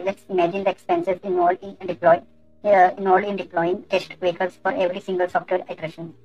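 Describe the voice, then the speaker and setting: A woman presenting an explanatory tutorial in a halting way, often repeating words and phrases as she goes. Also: medium pitch, a little mellow, highs cut off. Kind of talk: explanatory screen-share tutorial.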